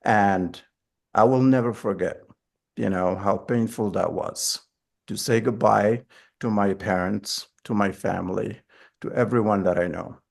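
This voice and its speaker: Gravelly man, earnest. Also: on the low side, a little slow, darkish tone. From Morocco. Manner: earnest storytelling. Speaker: school board candidate. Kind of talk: interview answers.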